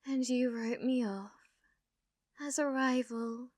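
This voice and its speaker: A woman playing a sadistic, haughty young noblewoman villainess in an ASMR roleplay, taunting theatrically and often dropping into breathy whispers. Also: on the high side, fairly even intonation, slow, a little mellow, dry recording, studio quality. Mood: bitter, haughty.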